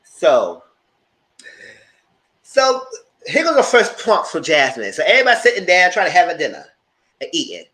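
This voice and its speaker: A man with a fairly high voice chats casually while reviewing a reality show. His pitch jumps around a lot as he acts out the cast members he quotes, and he keeps a loose, animated delivery throughout. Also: moderate pace, long pauses. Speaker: podcast co-host.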